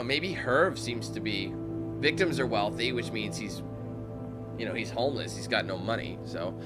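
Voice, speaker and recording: Chatty man narrating his gameplay. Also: mid-range voice. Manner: chatty, thinks out loud, talks to viewers as squad. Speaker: gaming YouTuber. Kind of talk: let's-play commentary mixed with reading game text aloud. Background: game music.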